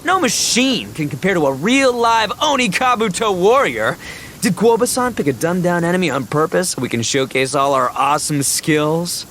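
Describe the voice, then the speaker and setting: An actor playing a brash, hot-blooded young oni gang leader in a video game, boastful and given to bombastic declarations. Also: male voice, high voice, animated, normal speed, fairly bright tone, slight background noise. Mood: cocky.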